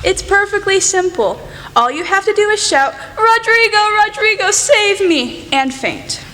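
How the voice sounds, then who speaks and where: A woman's voice: extremely high pitch, animated, a little slow, bright, forceful tone, exaggerated voice. A young woman playing a bold, headstrong teenage tomboy sister in a radio play, with emphatic, theatrical delivery. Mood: bossy, eager. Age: teens or young adult. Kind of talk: student radio play acting. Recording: reverberant hall, clear background noise.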